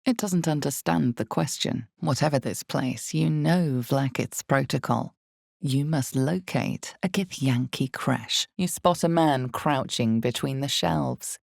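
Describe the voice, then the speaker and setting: A woman performing as an ominous storyteller in a dark fantasy game, narrating in a deliberate, suspenseful, theatrical way with breathy drops toward a whisper. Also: a bass voice, dry recording, studio quality, heavily acted. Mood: grave, suspenseful. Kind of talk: dramatic second-person fantasy game narration.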